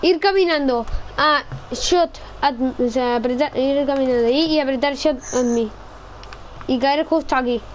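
High-pitched young Spanish gamer woman. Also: moderate pace, background noise. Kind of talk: casual gameplay role-play chat.

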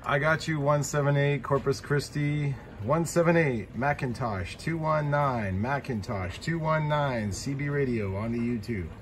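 A man's voice: mid-range voice, unhurried, few pauses. A man at a home radio station calling out call signs in a clipped radio cadence. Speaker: CB radio operator. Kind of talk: CB radio calls. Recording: loud static noise, radio audio.

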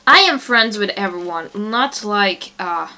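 A woman's voice: medium pitch, expressive, unhurried, bright, forceful tone, low-quality recording. A young woman answers viewer comments in a casual, rambling vlog, sounding exasperated and full of fillers. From Romania. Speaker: toy video maker answering comments.

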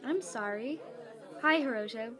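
An actress playing a bright, polite female party guest in a children's audio drama. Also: fairly high pitch, unhurried, natural voice. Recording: faint party crowd behind.

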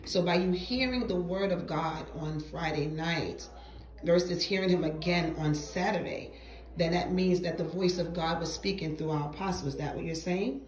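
A woman acting as a church interviewer, speaking in a measured, formal, devout way from off camera. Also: fairly low pitch, a little mellow. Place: indoor room, off camera. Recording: echoey room.

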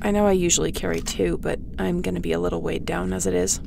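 A woman playing a tough, resolute space captain in an audio drama, speaking in a firm, dry, commanding way with a creaky voice. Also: flat delivery, fast, background noise. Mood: determined, protective. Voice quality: creaky, low-toned. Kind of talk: audio roleplay acting.

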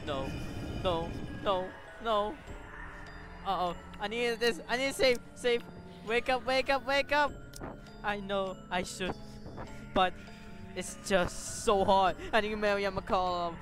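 Woman gamer doing excitable lets play, slow talker, repeats herself a lot, music behind her. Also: mid-range voice. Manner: excitable, dramatic, repeats phrases. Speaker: gaming streamer. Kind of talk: reactive let's play commentary, reading game text aloud.

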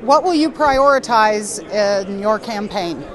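A woman interviewer asks brisk, friendly questions in a slightly high voice. She is on the floor of a large indoor convention hall. Loud crowd chatter fills the space around her. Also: lively intonation. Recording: busy crowd noise behind.

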